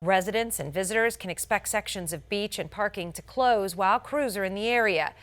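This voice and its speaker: A woman's voice: medium pitch, fairly quick, fairly bright tone. A woman with an American accent anchoring a scripted local TV newscast in a polished way, stressing key words. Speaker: television news anchor.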